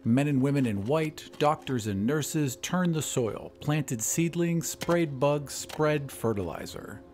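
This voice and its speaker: A male podcast host narrates an audio drama in a measured, expressive way. His pitch moves a lot as he goes, and faint music plays underneath. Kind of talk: scripted storytelling narration.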